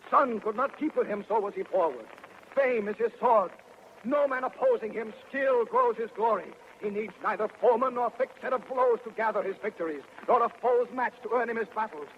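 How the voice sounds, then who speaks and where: An actor playing a weary, urgent messenger with a dire warning, chanting verse in an old radio drama. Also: male voice, high voice, highs cut off, close to an everyday voice. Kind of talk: 1930s-style verse radio drama acting. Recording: old radio recording with hiss.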